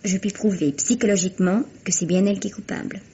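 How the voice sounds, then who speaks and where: A woman's voice: mid-range voice, steady tone, fast, mellow, slightly rough. A woman playing a young, self-assured actress in a vintage radio mystery, speaking theatrically with precise, proud insistence. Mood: accusing, firm. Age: young adult. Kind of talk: 1950s French radio mystery acting. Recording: dull, lo-fi vintage radio recording.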